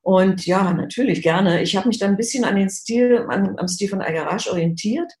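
Relaxed woman on an echoey video call. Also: medium pitch, normal speed. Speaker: illustrator, interview guest. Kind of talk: casual interview.

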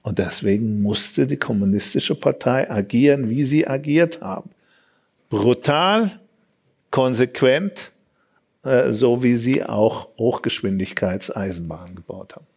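A man's voice: mid-range voice, measured pace, creaky, darkish tone, slightly rough. A man explaining his view as an expert guest in a debate, with emphatic, punchy statements. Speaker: economic expert, panel guest.

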